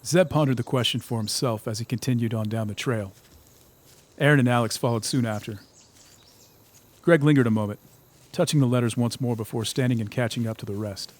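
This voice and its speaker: A man's voice: mid-range voice, fairly quick. A man narrating a scripted audio drama as its storyteller. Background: background music.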